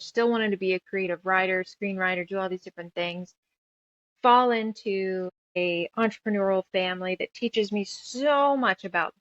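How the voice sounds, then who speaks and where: A woman's voice: medium pitch, dry recording, muffled recording. A woman in her thirties telling her story casually as an interview guest, rambling and emphatic, piling up lists as she goes. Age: 30s. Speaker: guest author and musician.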